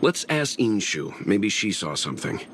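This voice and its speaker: A man playing a calm, terse, level-headed young man in an anime game, speaking in a cool, matter-of-fact way. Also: on the low side, normal speed, a little hiss or hum. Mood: cool, composed.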